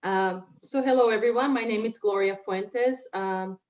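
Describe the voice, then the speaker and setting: A woman's voice: medium pitch, unhurried, a little mellow, highs cut off. Encouraging woman from Puerto Rico. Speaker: panelist. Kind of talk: introducing herself to students.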